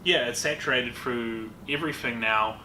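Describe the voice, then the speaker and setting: Co-host: New man, bright and eager, rambly, echoey room. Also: medium pitch, normal speed. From New Zealand. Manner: enthusiastic, rambling with many ums. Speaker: podcast co-host. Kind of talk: casual chat. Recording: echoey room with faint noise.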